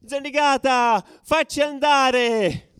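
A man voicing rowdy football fans who jeer and chant in loud, mocking, drawn-out shouts in a radio play. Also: very high-pitched, steady tone, no echo, studio quality, pitched above the performer's own voice, heavily acted. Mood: chanting, pleading. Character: jeering, rowdy football fans chanting and taunting at the top of their lungs.

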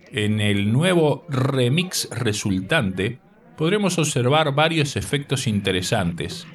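A man presenting a scripted radio segment in a measured, didactic manner. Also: mid-range voice. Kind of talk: scripted narration. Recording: distant mic with faint noise.

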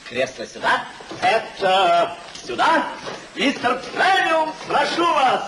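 Carefree young English gentleman, very high, swooping voice, theatrical radio-play delivery, echoey old recording. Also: huge pitch swings, slow, recorded from a distance, noisy background, full character voice. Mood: exuberant. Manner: theatrical, exuberant, declamatory. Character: merry spendthrift young English gentleman host, boisterous and carefree. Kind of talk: 1950s Soviet radio play acting.

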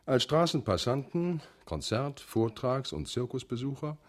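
A man's voice: mid-range voice, very animated, unhurried. A man announcing the closing credits of a radio play from a script.

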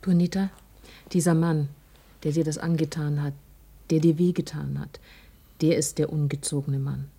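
Female police detective, husky lower register, composed, firm but gentle, radio drama. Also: fairly even intonation, normal speed, long pauses. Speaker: actress. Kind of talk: German radio drama acting.

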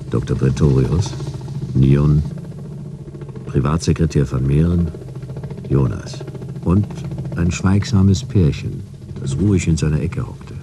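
A laconic private eye tells his case in a radio play. The voice sits extremely low with a gravelly creak, delivered dry and deadpan. A vehicle engine rumbles behind it. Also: background noise, exaggerated voice. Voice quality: very deep, gravelly. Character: laconic male detective narrating his own case. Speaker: narrator and lead character. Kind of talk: noir radio drama narration and dialogue.

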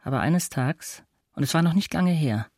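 A man's voice: a little high, lively intonation, moderate pace, a little mellow, dry recording, clean studio sound. A man reading scripted literary narration for a radio play.

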